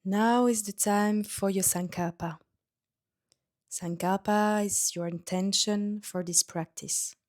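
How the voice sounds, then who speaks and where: A woman guiding a yoga nidra meditation in a gentle, soothing manner, leaving pauses between short instructions. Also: mid-range voice, fairly even intonation. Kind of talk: guided meditation.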